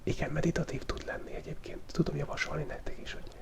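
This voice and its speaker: A man hosting a relaxed ASMR drawing video, whispering in a loose, playful way and thinking aloud as he sketches, close to the microphone. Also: on the high side, vocal fry. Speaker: channel host. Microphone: close studio microphone picking up pen tablet.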